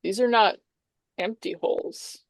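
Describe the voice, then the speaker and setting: A woman's voice: medium pitch, measured pace, no echo. A woman game master improvising tabletop game narration in a conversational, explanatory way.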